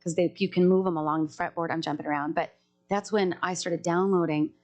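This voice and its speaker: A woman's voice: on the low side, steady tone, a little fast, a little mellow, faint music behind. A woman, a singer-songwriter, chatting in a casual podcast interview and jumping from thought to thought with many restarts.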